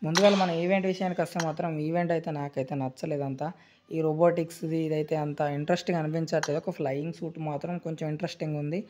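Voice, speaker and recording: A man hosting a gaming channel, explaining a game tutorial in a rapid, repetitive, filler-heavy way. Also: on the high side, steady tone, a little mellow, slight background noise. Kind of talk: screen-recorded game tutorial.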